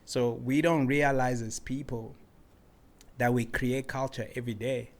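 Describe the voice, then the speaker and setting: A man's voice: medium pitch, measured pace. Laid-back reflective man. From Democratic Republic of the Congo, raised in Cape Town. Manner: relaxed and reflective, often adds "man" and "you know". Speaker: guest artist. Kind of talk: casual interview conversation.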